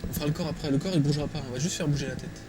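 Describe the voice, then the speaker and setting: A man's voice: a little high, fairly even intonation, racing. Casual Frenchman muttering over music. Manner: informal, slangy, muttering to himself. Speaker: drawing tutorial presenter. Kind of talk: casual screen-recorded tutorial, thinking aloud while drawing. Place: small room at home, indoors. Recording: music playing behind the voice.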